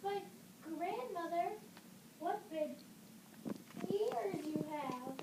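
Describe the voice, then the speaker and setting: A woman plays an innocent little girl from a storybook puppet show. The voice is extremely high and childlike, with slow, singsong phrasing. It sounds distant from the mic, with clear background noise. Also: expressive, echoey room, full character voice. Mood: puzzled. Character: sweet, innocent little girl in a fairy tale.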